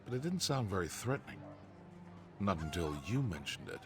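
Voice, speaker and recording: Deep-voiced nervous merchant. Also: male voice, sing-song, normal speed. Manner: earnest, nervous, formal. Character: anxious male merchant clerk, earnest, proper. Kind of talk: game dialogue, AI voiced. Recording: faint game music behind.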